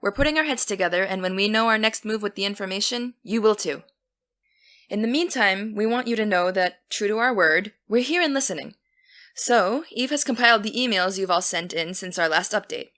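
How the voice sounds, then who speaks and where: An actress playing an earnest teenage girl who hosts a podcast, keeping things steady and businesslike. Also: medium pitch, brisk. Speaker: podcast host. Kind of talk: scripted audio drama.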